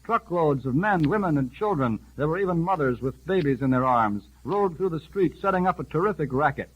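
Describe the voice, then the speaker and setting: 1930s radio newscaster, husky and muffled. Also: male voice, fairly high pitch, expressive. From United States. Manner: urgent, rapid formal delivery. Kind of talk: 1930s radio news bulletin. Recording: muffled old 1938 radio broadcast.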